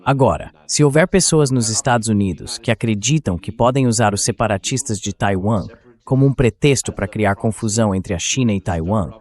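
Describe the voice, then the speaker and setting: A man with a Brazilian accent and a fairly low voice gives dubbed political commentary. He speaks as an interview guest, explaining his points in a didactic way and stressing them emphatically. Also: fairly quick, darkish tone.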